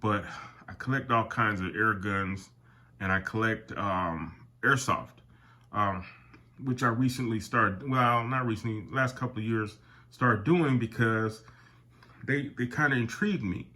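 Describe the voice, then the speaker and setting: An older man with a somewhat low voice, nasal and stuffy from a summer cold. He rambles casually to his viewers with plenty of fillers and restarts, calling them "guys" as he shows off items from his collection. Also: a little slow, bright, forceful tone. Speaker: airgun collector and channel host. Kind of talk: casual product talk to camera.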